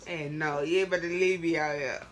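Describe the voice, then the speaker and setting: A woman's voice: low-pitched, steady tone, fairly quick. A woman chatting casually while watching a homemade puppet show. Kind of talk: casual chat from the audience of a home puppet show. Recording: very noisy home recording.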